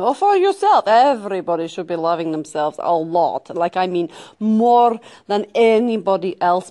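A woman's voice: on the high side, warm tone, no echo, studio quality. A woman playing a brash, blunt, self-loving Russian woman who scolds in broken English as host of a comic advice show. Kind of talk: improvised comic monologue answering caller messages.

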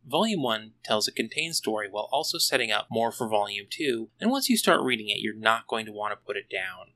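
A man's voice: fairly low pitch, sing-song, brisk, pushed and bright, low-quality recording. A man hosting a solo podcast, delivering a scripted review with wry, tongue-in-cheek asides. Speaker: podcast host reviewing comics.

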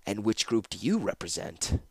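Man acting a bitter, defiant prisoner, lively pitch. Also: moderate pace, no echo. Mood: sarcastic, strained. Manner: gasping, growling, bitter. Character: battered, defiant male prisoner, bitterly sarcastic.

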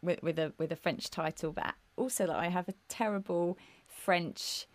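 A woman's voice: lowish voice, normal speed, no echo. A woman, co-hosting a music podcast in casual, laughing chat with frequent restarts. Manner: chatty, laughing, many restarts. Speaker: podcast co-host.

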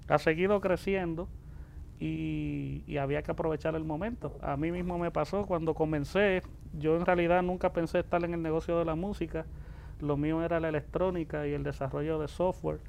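A man's voice: a little high, fairly even intonation, fairly quick, vocal fry, darkish tone. A man in his forties with a Puerto Rican accent, telling anecdotes casually as an interview guest, with some background noise. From born in the Dominican Republic, raised in Puerto Rico from age ten. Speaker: interview guest, music producer.

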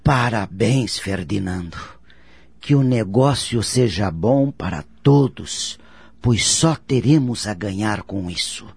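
A man's voice: fairly low pitch, expressive, slow, creaky, darkish tone, heavily acted. An actor playing a deferential, meticulous employee in his fifties in a radio soap opera. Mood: quietly self-satisfied. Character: deferential, meticulous male employee of fifty-two.

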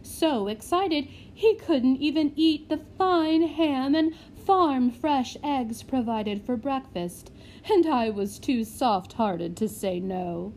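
A woman voicing a fawning, gushing matron who flatters a visiting gentleman. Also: sits high, takes it slowly, slight background noise, pitched above the performer's own voice, heavily acted. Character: fawning, gushing middle-aged woman running a house for children.

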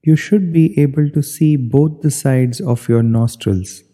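A man guiding a meditation as an instructor, giving measured step-by-step directions in short phrases. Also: medium pitch, dark tone. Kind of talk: guided meditation narration. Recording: long hall-like reverb.

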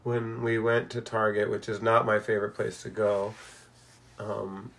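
A middle-aged man chatting casually in a bedroom, telling rambling stories in a relaxed way. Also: on the low side, measured pace. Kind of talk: casual chat.

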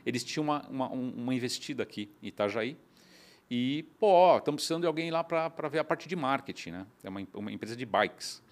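A middle-aged man from São Paulo, telling his story as a guest in a casual conversation, animated and often restarting. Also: medium pitch, quick pace. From São Paulo, Brazil. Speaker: guest entrepreneur.

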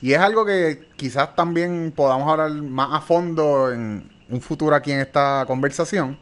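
A man hosting a live interview, asking measured questions and often checking in with the guest. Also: fairly high pitch, a bit bright. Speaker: interview host.

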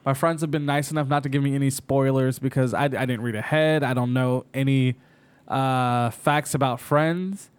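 A man rambles through casual podcast banter, restarting sentences and trailing off. His voice sits at a middle pitch, and he swears easily as he talks. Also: fairly even intonation, normal speed, clear, resonant tone. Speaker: podcast co-host. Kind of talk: casual chat.

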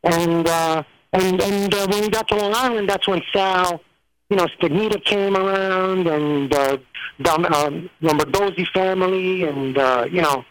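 A man's voice: high voice, measured pace, fairly bright tone, clear, resonant tone, rough recording. Rambling New man. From New York. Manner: rambling, many fillers and restarts. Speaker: guest. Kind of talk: casual storytelling interview.

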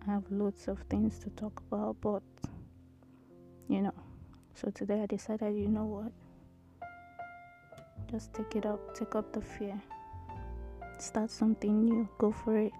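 A woman in her thirties with a tiny, soft voice hosting her own podcast, talking hesitantly with many ums. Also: mid-range voice, monotone, unhurried, pauses often, faint music behind. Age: 30s. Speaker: podcast host. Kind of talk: casual solo podcast intro.

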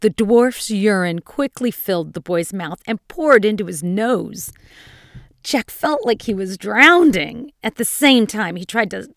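A woman narrating a scripted dark fairy tale as a storyteller, with a dramatic, expressive delivery. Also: mid-range voice, measured pace, no echo, studio quality.

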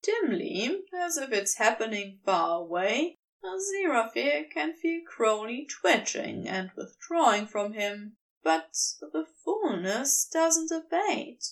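A woman's voice: high voice, big pitch swings, takes it slowly, hardly any pauses, distant mic, rough recording. A woman reading scripted fiction as an audiobook narrator, recorded slightly away from the microphone.

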